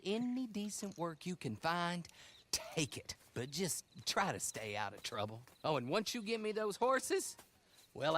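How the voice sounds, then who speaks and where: An actor playing a cheerful, roguish, talkative horse thief in a western video game, with a folksy rural American drawl. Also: male voice, sits high, slight background noise, heavily acted. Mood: cheerful, teasing. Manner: drawling, chuckling, folksy, animated.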